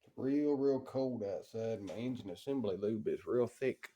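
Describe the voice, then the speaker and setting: A man's voice: lowish voice, moderate pace, mellow, a little hiss or hum. A man with a stuffy voice from a cold, talking plainly and casually while he restores an old engine in a cold workshop. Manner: plain-spoken, talks while working. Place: cold workshop heated by a stove.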